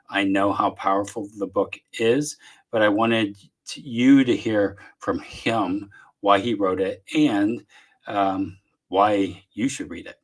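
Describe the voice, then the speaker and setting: A man from Southeast Texas with a Texan accent, hosting a casual podcast interview from his home office, talking in a relaxed, rambling way with lots of fillers. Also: fairly low pitch, low-quality recording. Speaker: podcast host.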